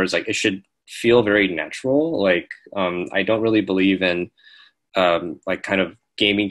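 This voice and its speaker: A young man with a very low voice talks loosely over earphones on a video call. He fills nearly every phrase with "like" and "um". The sound comes from a small home room. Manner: relaxed, filler-heavy, says "like" constantly. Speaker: panelist. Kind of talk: casual video call discussion. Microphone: earphones headset on video call.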